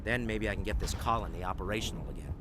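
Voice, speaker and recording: A man's voice: deep voice, very fast, background noise, natural voice. An actor playing a weary, courteous male colony leader in a game, grave and plain-spoken. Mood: grave, grateful. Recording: loud game music over voice.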